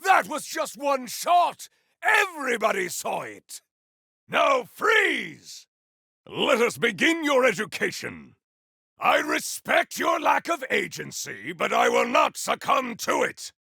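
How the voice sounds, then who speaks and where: Game role: bombastic, honorable cyborg warrior, slow declamatory delivery, often shouted, raspy edge. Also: male voice, very high-pitched, wildly swooping pitch, dry recording, clean studio sound, heavily acted. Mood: battle fury. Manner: theatrical, declamatory, grandiose. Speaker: video game voice actor. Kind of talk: video game combat barks.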